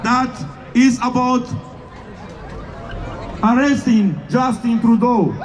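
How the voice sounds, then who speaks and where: A man giving a shouted rally speech at an outdoor street protest, in halting English with slips of grammar. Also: very high voice, noisy background. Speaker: protest speaker. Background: faint crowd.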